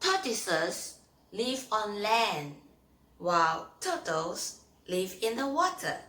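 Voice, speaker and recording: A woman reading aloud and teaching as a children's storyteller, phrasing carefully and deliberately in short chunks. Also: medium pitch, very animated, pushed and bright.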